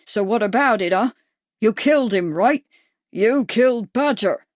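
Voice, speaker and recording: A woman's voice: on the high side, animated, unhurried, no room sound, clean studio sound. A woman voicing a burly, menacing man with a thick accent who snarls and growls threats.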